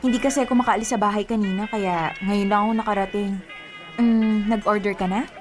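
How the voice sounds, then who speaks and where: An actress playing a guileless, playful young woman in a radio drama, chirpy and expressive. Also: medium pitch, brisk, close to an everyday voice. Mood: playful, sweet. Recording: faint music behind.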